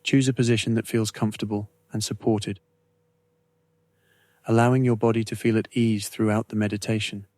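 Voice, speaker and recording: A man with a fairly low voice leads a guided meditation. His intonation stays very flat and steady, and he sets out each phrase deliberately, with soothing pauses. The recording is dry and close, free of room sound. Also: dry recording. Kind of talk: guided meditation, scripted narration.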